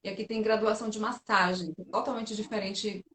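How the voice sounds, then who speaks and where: A Brazilian woman, a physiotherapist and teacher, chatting on a live stream. She tells her stories with animated quoted voices, and her voice carries the echo of a roomy space. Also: mid-range voice, a little fast, slight background noise. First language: Portuguese. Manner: lively storyteller, animated quoting. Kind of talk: casual live-stream interview.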